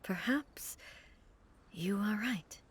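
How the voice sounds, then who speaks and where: A woman's voice: mid-range voice, extremely slow, background noise. Composed, dignified female ruler. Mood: gentle, reassuring. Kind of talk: video game voice acting.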